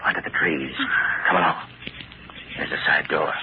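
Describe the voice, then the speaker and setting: A man's voice: a bass voice, steady tone, normal speed. An actor playing a shrewd, commanding man posing as a detective, confident and clipped, in an old-time radio drama. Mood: menacing. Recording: muffled, very noisy old radio recording.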